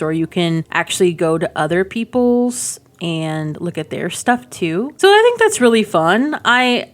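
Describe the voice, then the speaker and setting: Chatty woman with a slightly low voice. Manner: chatty, informal, enthusiastic, thinking aloud. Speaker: gaming video creator, host. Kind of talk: casual game preview commentary.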